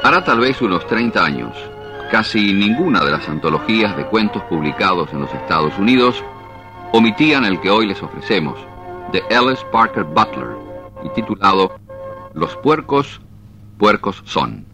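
A man's voice: lowish voice, big pitch swings, moderate pace, music in the background. A man announcing a radio story series in a formal, scripted style.

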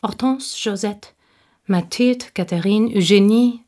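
An actress playing a sober provincial woman, a devoted childhood confidante, in a German radio drama, speaking in a measured, wistful way. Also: medium pitch, a little mellow, close to an everyday voice. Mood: reminiscing. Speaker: actor in a radio play. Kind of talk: German radio drama acting, reflective storytelling.

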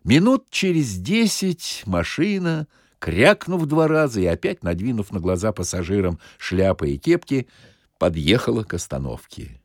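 A man's voice: fairly low pitch, very animated, normal speed, a little mellow, no echo, clean studio sound. A man reading a humorous short story as a scripted audiobook narration.

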